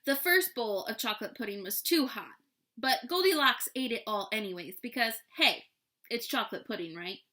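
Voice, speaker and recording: A woman reading a children's storybook aloud in an expressive, playful, tongue-in-cheek manner. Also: a little high, moderate pace.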